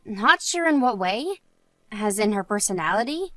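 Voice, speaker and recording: A woman's voice: mid-range voice, big pitch swings, normal speed, a bit bright, no room sound. A woman playing an earnest married woman and loyal friend in a scripted story drama. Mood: calm, chatty.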